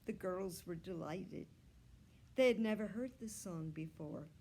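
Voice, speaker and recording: A woman reading a children's novel aloud as a storyteller, expressive and deliberate, drawing out words. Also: lowish voice, breathy edge. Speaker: children's story reader, library staff.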